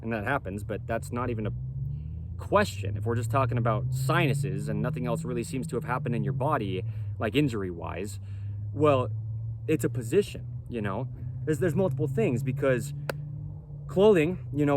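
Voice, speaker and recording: A man with a boomy, projecting voice, a clothing company founder explaining in an emphatic monologue that keeps checking in with "right?" and "okay?". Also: noisy background. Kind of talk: self-recorded explainer monologue.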